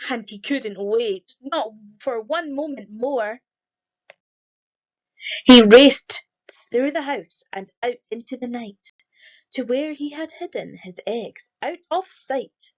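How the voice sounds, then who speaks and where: Lively sing-song woman reading a storybook. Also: on the high side, dull audio. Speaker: storybook reader. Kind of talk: reading a rhyming children's story aloud.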